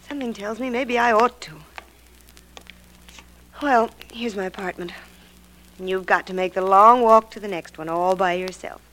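A woman's voice: medium pitch, a bit bright, lightly acted. A woman playing a spirited young actress in an old radio mystery. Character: spirited young actress, engaged, wisecracking but uneasy. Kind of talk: 1940s radio acting. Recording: faintly noisy old radio recording.

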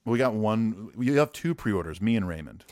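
Easygoing podcast guy reading out emails, kinda low voice, dry sound. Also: animated, moderate pace, a little mellow, dry recording. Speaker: podcast co-host and musician. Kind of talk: casual podcast chat, reading listener emails.

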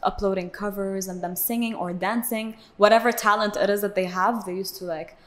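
A woman's voice: mid-range voice, brisk. A woman of twenty from Belgium, a singer chatting casually as a guest in an interview, leaning on "like" as filler. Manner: relaxed, chatty, leans on "like" as filler.

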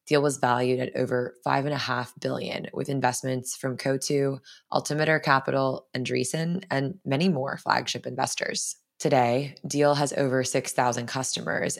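A woman's voice: a bass voice, vocal fry. A young woman hosting a podcast, moving between scripted introduction and interview questions. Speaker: podcast host.

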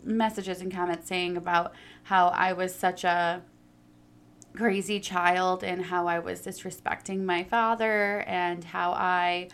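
A woman's voice: on the low side, level tone, slow pace, a bit bright, smooth. A young adult woman speaking candidly about her own life, earnest and emphatic, stretching out her stressed words. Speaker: personal storyteller. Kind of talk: candid personal talk.

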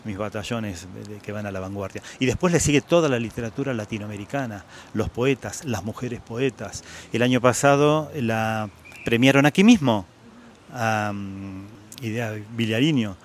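A man's voice: fairly low pitch, moderate pace, slight background noise. A man in his sixties with an Argentine accent, a guest writer talking about his favorite authors at a book fair, reflective, repeating words for emphasis, with many hesitation sounds. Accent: Argentine Spanish. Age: 60s.

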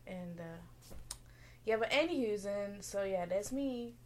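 A woman's voice: medium pitch, lively intonation, slow, darkish tone, a little hiss or hum. A woman co-hosting a podcast in casual, joking banter, rambling and playfully sarcastic. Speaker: podcast co-host.

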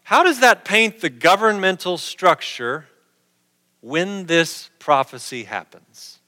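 A man's voice: high voice, big pitch swings, slow, bright, forceful tone, in a large hall. A middle-aged man preaching a sermon in a conversational way, posing questions to his listeners.

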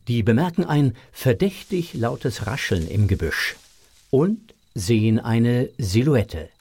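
A man narrating a children's audio drama in a measured storytelling manner. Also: medium pitch, big pitch swings, darkish tone, slightly rough.

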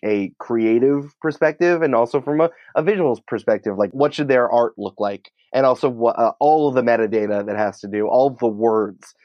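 A man's voice: lowish voice, a little mellow, no room sound. A man talking casually and at length as a podcast interview guest. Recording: dry, slightly dull sound.

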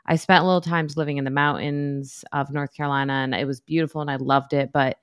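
A woman, a psychologist co-hosting a podcast, chatting in a relaxed, rambling way with many fillers. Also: low-pitched, fast, clean tone, no echo, clean studio sound. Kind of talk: casual podcast chat.

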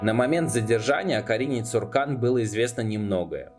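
A man's voice: medium pitch, animated, a little fast. A man narrating a scripted documentary podcast as its narrator. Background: background music.

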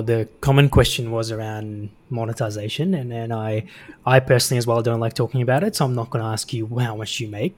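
A man hosting a conversational interview in a casual, engaged manner. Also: mid-range voice. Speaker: interviewer, podcast host.

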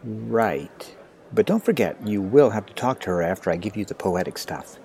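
A man's voice: lowish voice, expressive, moderate pace, vocal fry, darkish tone. A man playing a wry, awkward ninth-grade boy with a grown-up mind, hesitant and thoughtful, in an audio drama. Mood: helpful, wry.

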